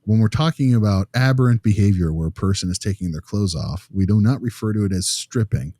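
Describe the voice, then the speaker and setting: A man's voice: fairly low pitch, fairly quick, dark tone, dry recording, clean studio sound. A man chatting casually as a podcast host, with a wry, joking way of talking.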